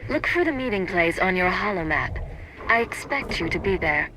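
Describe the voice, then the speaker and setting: A woman plays a commanding secret agent in a video game. Her mid-pitched voice is curt, controlled and authoritative, with faint noise behind it. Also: animated, moderate pace, close to an everyday voice. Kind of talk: video game character acting.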